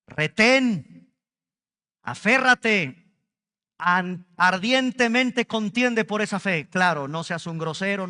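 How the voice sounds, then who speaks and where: A Mexican man preaching to his congregation with a high, bright and smooth voice. He talks slowly and leans hard on key words. His voice rings through a church hall with a long reverb. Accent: Mexican Spanish. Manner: emphatic preaching, stretches key words, addresses congregation directly. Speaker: pastor. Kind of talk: sermon. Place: church service.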